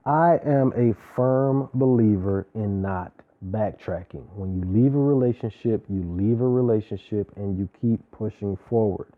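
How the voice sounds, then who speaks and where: A man's voice: on the low side, a little slow, few pauses. Man, casual opinionated recap, emphatic slangy delivery, narrow tinny sound. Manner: emphatic, slangy, preachy asides. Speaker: reality show reviewer.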